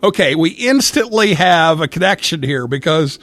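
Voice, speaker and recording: A man hosting a casual live talk show, speaking in a halting way and often repeating his words. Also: on the high side, expressive, fairly bright tone. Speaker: radio show host.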